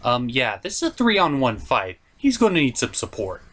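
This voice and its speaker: A man's voice: mid-range voice, wildly swooping pitch, moderate pace, a bit bright. A man recapping a film as a comedic review host, with incredulous, exaggerated asides. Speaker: host of a movie review puppet show.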